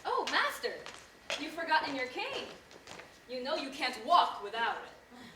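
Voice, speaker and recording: A woman playing a cheeky, scheming young maidservant, teasing and animated in a live stage play. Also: sits high, unhurried. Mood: pert. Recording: echoey theater from far off, noisy.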